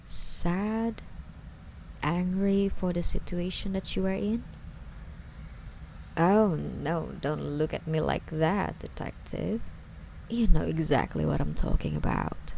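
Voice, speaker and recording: Obsessive, lovesick young female stalker played by a woman for ASMR roleplay: coy and wheedling, slow, with creaky fry. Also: on the low side, dark tone, slight background noise, low-quality recording. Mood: unsettled, probing. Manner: coy, wheedling, hesitant, sighing delivery.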